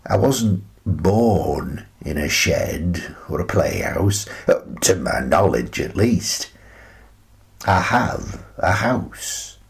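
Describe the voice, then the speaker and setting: A gentle, eccentric older British man, played by an actor, speaks in a deep voice at a very unhurried pace. He rambles wistfully through a radio play monologue, pausing and hesitating as he reflects. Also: lively intonation, vocal fry, heavily acted. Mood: wistful, reflective. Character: gentle, wistful, eccentric old grandfather living in a playhouse.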